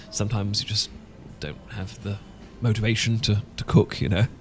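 A man's voice: fairly low pitch, little pitch movement, normal speed, a little hiss or hum, lightly acted. A man with a British accent playing an awkward, sentimental English professor in a romantic ASMR roleplay, stammering and hesitant. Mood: awkward, nervous. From Britain. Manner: stammering, hesitant, full of false starts. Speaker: audio roleplay performer.

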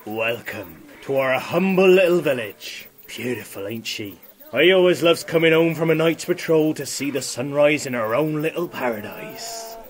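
An actor playing a genial but sly rustic villager in an audio drama, folksy and theatrical. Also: male voice, on the high side, lively intonation, moderate pace, natural voice. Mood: genial, proud.

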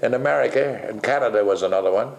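An older man from the Netherlands talks through an interview in a low, gravelly voice. He is blunt and salty and rambles with pauses, and his words bounce around an echoey kitchen. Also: a little hiss or hum. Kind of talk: casual interview. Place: kitchen at home, indoors.

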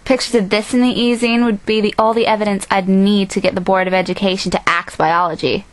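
A woman's voice: mid-range voice, steady tone, brisk, dry recording, natural voice, low-quality recording. A young woman voicing a sassy, blunt teenage best friend in an amateur audition. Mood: indignant, scheming. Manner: snarky, blunt. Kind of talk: amateur audition line reading.

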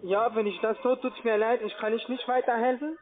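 Very high foreign-accented man, tinny phone. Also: fairly even intonation, brisk. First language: not German. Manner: formal, repetitive. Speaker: call center trading agent. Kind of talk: scripted cold sales call. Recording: tinny, band-limited phone line.

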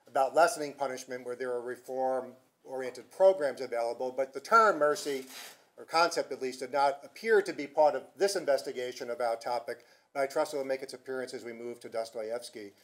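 A middle-aged man moderating a conference session at a podium, speaking in a measured, hesitant way with restarts. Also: mid-range voice. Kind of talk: formal commentary and introduction. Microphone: podium microphone.

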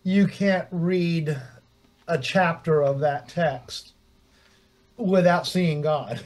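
A man on a Bible study panel, speaking reflectively and a bit haltingly over a video call. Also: a little high, steady tone, darkish tone. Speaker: discussion panelist. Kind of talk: casual Bible study discussion.

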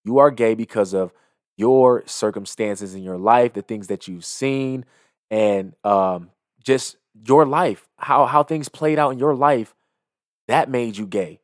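A man's voice: medium pitch, takes it slowly, no echo. Young guy doing reaction commentary, preachy and emphatic, real dry mic sound. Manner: emphatic, moralizing. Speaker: video commentator. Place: small indoor home studio. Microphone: close studio microphone on an arm, headset on.

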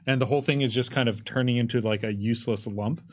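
A man in his thirties acting as game master, narrating a tabletop roleplaying game in a casual, halting way. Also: medium pitch, steady tone. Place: indoor streaming studio with curtain backdrop. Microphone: desk microphone.

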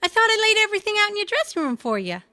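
A woman's voice: very high voice, big pitch swings, very fast, sharp tone, no room sound, full character voice. A woman playing a meek, flustered, apologetic young assistant.

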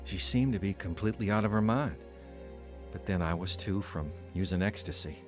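A man's voice: low-pitched, moderate pace, over music, lightly acted. An actor playing a troubled, wavering young man telling his life story in a radio drama. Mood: rueful recounting. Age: young adult. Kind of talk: radio drama narration and dialogue.

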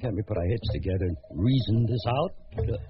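A man's voice: lowish voice, lively intonation, a little fast, lightly acted. An actor playing a frazzled, skeptical middle-aged father who sputters, in a radio drama. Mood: flustered, disbelieving. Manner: flustered, sputtering. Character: frazzled, hard-drinking, skeptical family father. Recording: muffled sound with music behind.

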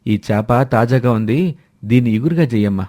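A man acting mellow young village fisherman. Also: mid-range voice, moderate pace, close to an everyday voice. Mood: earnest. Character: kind-hearted young village fisherman, friendly and earnest. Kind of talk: animated moral story dialogue.

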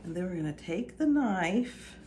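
Middle-aged lady walking thru a recipe, kinda unsure of herself, low-ish voice, roomy noisy kitchen mic far away. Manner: unhurried, self-doubting asides, lively pitch. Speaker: home cooking vlogger. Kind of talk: casual cooking demonstration. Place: home kitchen, indoors. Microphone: far camera. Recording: echoey kitchen, camera far off, background noise.

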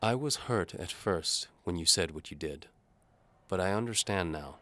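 A man's voice: deep voice, lively intonation, normal speed, a bit bright, pitched above the performer's own voice. A man voicing a proud, scheming young noblewoman with a haughty, insistent manner in an audio drama. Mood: cool, knowing.

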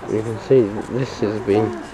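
A man filming a walking tour outdoors, pointing out sights in a slow, halting way on the camera mic. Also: fairly low pitch, fairly even intonation, a crowd in the background, poor audio. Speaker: tour guide filming a town walk. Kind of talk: casual walking-tour commentary. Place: outdoors on old town streets. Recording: loud street traffic noise.

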